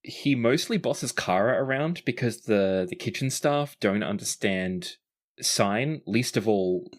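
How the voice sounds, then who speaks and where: A person with a medium-pitched voice, narrating a tabletop roleplaying game casually as game master. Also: expressive, slow pace.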